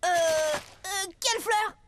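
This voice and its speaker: A woman playing an excitable, naive, lovestruck little schoolboy in a cartoon, flustered and gushing. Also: very high-pitched, slow pace. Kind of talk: French cartoon dub. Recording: loud music under the voice.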